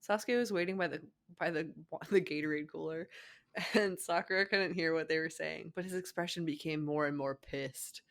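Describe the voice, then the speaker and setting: A woman's voice: low-pitched, moderate pace. A young adult woman co-hosting a fan fiction reading, narrating the story with casual asides.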